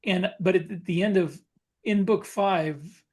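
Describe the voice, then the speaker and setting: Man in halting, casual podcast talk. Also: sits high, a little mellow. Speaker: podcast co-host and science fiction author.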